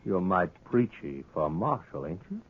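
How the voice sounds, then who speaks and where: Sly saloon owner: low husky voice, brash and talkative, Western American accent, vintage radio western. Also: male voice, big pitch swings, moderate pace, phone-quality sound. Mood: needling, defiant. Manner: cocky, needling, talkative. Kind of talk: 1950s radio western acting. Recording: thin old radio broadcast recording.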